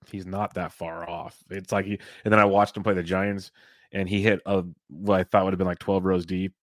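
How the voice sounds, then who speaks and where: A man in his thirties with a very deep voice hosts an easygoing sports podcast. He chats loosely and steers the talk with questions to his partner. The sound is dry, close to the mic. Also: no room sound. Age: 30s. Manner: easygoing, chatty, leads topics with questions. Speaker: podcast co-host. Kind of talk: casual fantasy baseball chat.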